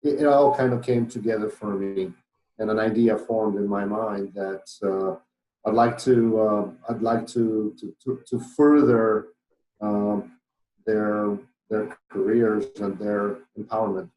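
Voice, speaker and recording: A man's voice: fairly low pitch, steady tone, unhurried, mellow, reverberant, rough recording. A middle-aged man with a Polish accent, a podcast guest who talks thoughtfully with many fillers and restarts. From Poland. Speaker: guest, business owner. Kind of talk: podcast interview.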